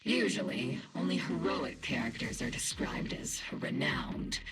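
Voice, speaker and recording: A woman playing a gruff, by-the-book veteran squad captain in a game, blunt and no-nonsense. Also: very deep voice, animated, unhurried, very noisy, exaggerated voice. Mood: wary, skeptical. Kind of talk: dramatic game dialogue.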